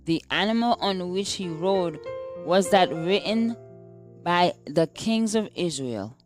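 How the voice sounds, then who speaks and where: A woman reading a scripted daily devotional in a measured, deliberate manner. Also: medium pitch. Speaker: devotional reader. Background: background music.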